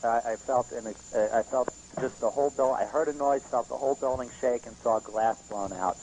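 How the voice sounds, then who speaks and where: A man phoning in a live eyewitness report to TV news, composed and deliberate as he stresses key facts. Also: mid-range voice, darkish tone. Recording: noisy archived phone call on TV broadcast.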